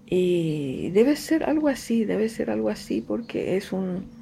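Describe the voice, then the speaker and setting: A middle-aged Paraguayan woman writer, a native Guaraní speaker, answering interview questions in an unhurried, reflective way with frequent fillers and repetitions. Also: mid-range voice, very animated, gravelly, mellow, slight background noise. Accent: Paraguayan Spanish.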